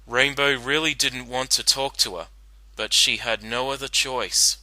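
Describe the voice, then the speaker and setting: A man narrating a dramatic reading of fan fiction in an earnest, deliberate storytelling manner. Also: mid-range voice, fairly even intonation, sharp tone, no room sound.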